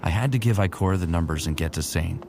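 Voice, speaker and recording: A man's voice: low-pitched, fast, background noise. A man playing a weary, remorseful futuristic soldier in an earnest, brooding way, narrating and speaking in a sci-fi audio drama. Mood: reflective.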